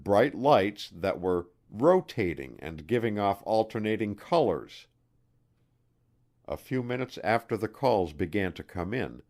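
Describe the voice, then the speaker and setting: A man reads scripted nonfiction in a low voice. His intonation moves up and down a good deal as he narrates, in the manner of an audiobook reader. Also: a little mellow.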